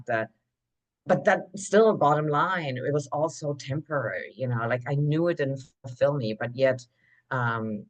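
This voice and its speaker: A woman, a podcast guest telling her story in an animated, emphatic way, full of "like" fillers. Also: extremely low pitch. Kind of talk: conversational interview storytelling.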